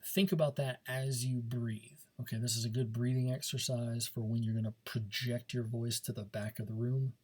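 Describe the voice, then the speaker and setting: A man's voice: mid-range voice, a little mellow. A man teaching a speech class in an informal, coaching manner, often checking in as he gives instructions. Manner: informal, coaching, checks understanding with frequent "okay" and "right".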